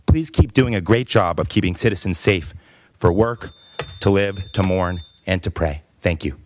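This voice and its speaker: A man's voice: low-pitched, moderate pace, a little hiss or hum. A man giving a firm, pleading public comment at a council meeting.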